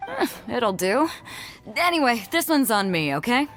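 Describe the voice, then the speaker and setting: A woman's voice: a little high, big pitch swings, normal speed, fairly bright tone, faint music behind. An actress playing a hearty, easygoing woman sea captain in a video game, with a breezy, blunt, informal way of talking. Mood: breezy, ribbing.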